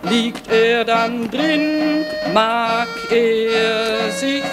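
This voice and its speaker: A woman's voice: on the high side, steady tone, drawn-out words, loud music behind the voice. A woman singing a grim, mocking war ballad as part of a theatrical radio play.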